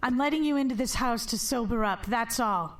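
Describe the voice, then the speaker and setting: Guarded, high-voiced woman played by an actress. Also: brisk, close to an everyday voice. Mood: exasperated, firm. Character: guarded, weary, firm woman fending off her ex. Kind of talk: naturalistic audio drama acting.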